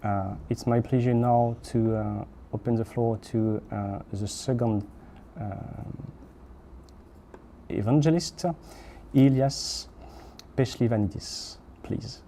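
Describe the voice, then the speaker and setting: A man's voice: mid-range voice, steady tone, takes it slowly, warm tone, slight background noise. A man moderating an online panel, hesitant and full of fillers.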